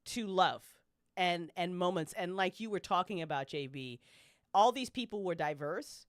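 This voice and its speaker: A woman's voice: fairly low pitch, lively intonation, normal speed, no echo, studio quality. A woman on a podcast panel, chatting casually and opinionatedly as a fan, with emphatic, slangy, swear-heavy delivery.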